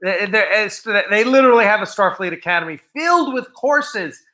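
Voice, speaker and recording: A man's voice: high voice, animated, moderate pace, pushed and bright. A man hosting a casual livestream, chatting in a jokey, talkative way. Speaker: livestream host. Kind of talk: casual live chat.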